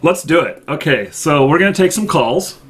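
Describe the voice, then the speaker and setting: Halting man hosting a casual livestream. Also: a little high, lively intonation, slight background noise. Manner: halting, hesitant delivery. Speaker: live show host.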